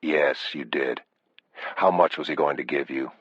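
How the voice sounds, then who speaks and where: A man voicing a cold, calmly controlled killer with a measured, menacing delivery. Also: rumbling low, slightly rough, no room sound, dull audio, full character voice. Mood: calm menace.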